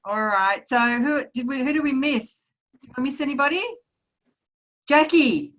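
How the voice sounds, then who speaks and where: A woman hosting a casual group video call, upbeat and quick to praise each guest. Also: high voice, fairly bright tone. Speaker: host of a team video call. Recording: muffled video call audio.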